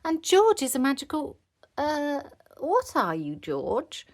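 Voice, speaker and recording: A woman voicing an excitable, eager little girl pig from a children's storybook, with exclamatory delivery. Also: high voice, slow, pitched above the performer's own voice, exaggerated voice. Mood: proud.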